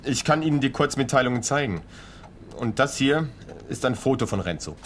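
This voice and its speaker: A man's voice: medium pitch, lively intonation, fairly quick, faint music behind, natural voice. An actor playing an earnest, worried man who is a detective's client in a radio drama, speaking a little hesitantly. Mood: earnest, bargaining. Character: earnest, worried male client, frugal ordinary working man.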